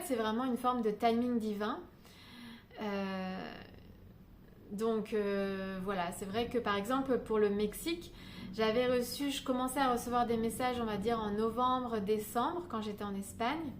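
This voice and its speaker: A woman with a slightly high voice muses aloud for her vlog, pausing on frequent "euh" fillers and circling back on her words. She records indoors, and a faint stormy ambience sits behind her speech. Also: steady tone, slight background noise. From Paris, France. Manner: hesitant, many "euh" fillers and restarts. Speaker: spiritual guidance vlogger. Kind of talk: unscripted reflective monologue to camera. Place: indoors in Paris during a thunderstorm.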